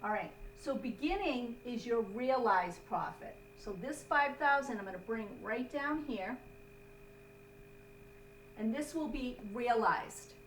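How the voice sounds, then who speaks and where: Higher-voiced woman teaching in an echoey room. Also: expressive, slow pace. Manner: instructive, checks in with short tags. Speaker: accounting instructor. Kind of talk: step-by-step classroom lesson. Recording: roomy, distant mic, faint hum.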